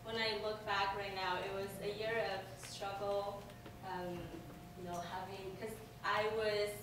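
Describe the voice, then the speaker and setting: A young woman with a Chinese accent, a former student giving a halting presentation full of ums and restarts. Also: mid-range voice, little pitch movement. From China. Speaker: alumni speaker. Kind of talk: presentation talk with slides. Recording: echoey room from far off, noise.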